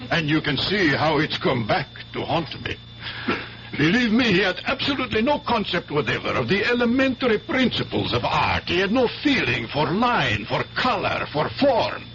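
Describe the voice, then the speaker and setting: High-voiced, flustered professor. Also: male voice, very animated, normal speed, pushed and bright, exaggerated voice. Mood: bitter disbelief. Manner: emphatic, theatrical, hesitant when flustered. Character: flustered, baffled male art professor, emphatic and incredulous. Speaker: radio drama actor. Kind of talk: 1970s radio drama acting. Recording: muffled, noisy 1970s radio broadcast with hum.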